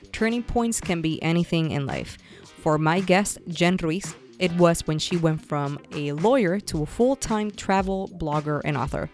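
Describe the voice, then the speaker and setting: Low-voiced female podcast host. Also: darkish tone. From Puerto Rico. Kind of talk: casual interview.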